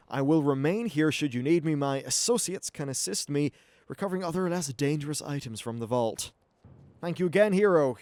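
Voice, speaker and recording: A man's voice: on the high side, a little mellow, no echo, close to an everyday voice. Man as a courtly young dragon schemer. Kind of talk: video game voice acting.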